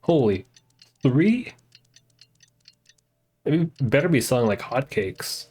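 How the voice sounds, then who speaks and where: A woman's voice: very deep voice, very animated, takes it slowly, a little mellow, breathy edge. A woman giving casual live commentary as she plays a game, in short, dry, wry reactions. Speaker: game streamer.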